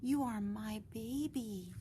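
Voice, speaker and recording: A woman voicing a loving, protective mother bat in a gentle, soft-spoken way. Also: fairly high pitch, takes it slowly, slight background noise, pitched above the performer's own voice. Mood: tender.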